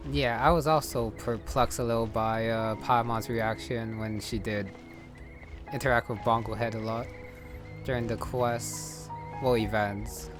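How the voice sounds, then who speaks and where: A man's voice: mid-range voice, fairly even intonation, unhurried. A man giving casual gameplay commentary, thinking aloud in a hesitant, musing way. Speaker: gamer commentating on playthrough. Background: game music.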